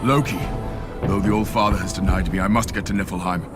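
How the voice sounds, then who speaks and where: Man playing defiant warrior god over loud music. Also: low-pitched, steady tone, a little fast, lightly acted. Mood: grim determination. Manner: forceful, declamatory, defiant. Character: headstrong young warrior god, vengeful and defiant. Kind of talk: dramatic video game cutscene acting.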